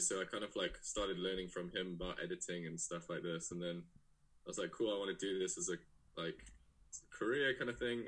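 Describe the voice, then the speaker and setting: A man's voice: low-pitched, rough recording. A young man from England, raised in South Africa, talking casually and rambling through his story as an interview guest. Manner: relaxed, rambling, many fillers and restarts. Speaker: guest, photographer and director.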